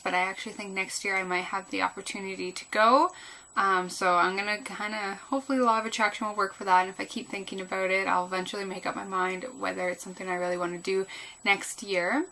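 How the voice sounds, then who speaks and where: A woman's voice: medium pitch, steady tone, quick pace, bright, forceful tone, slight background noise, low-quality recording. A woman chatting casually through a makeup tutorial as a beauty vlogger, sounding a little groggy and tired. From Canada. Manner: chatty, rambling.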